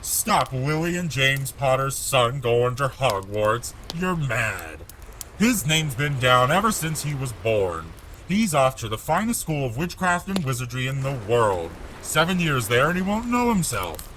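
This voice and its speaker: Guy doing a gruff growly giant gamekeeper, rustic talk, big swings in pitch, kindly and blustery, audiobook drama. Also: big pitch swings, unhurried, a bit bright, a little hiss or hum. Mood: proud, indignant. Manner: rustic dialect, dropped words, blustery. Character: gruff, warm-hearted giant gamekeeper, proud and fiercely loyal.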